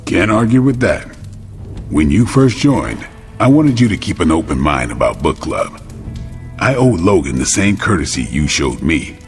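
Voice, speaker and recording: A man's voice: deep voice, sing-song, normal speed. A man playing a composed, fair-minded superhero leader in a video game, recorded with a hall-like reverb and music behind. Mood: reflective. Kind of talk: video game cutscene acting.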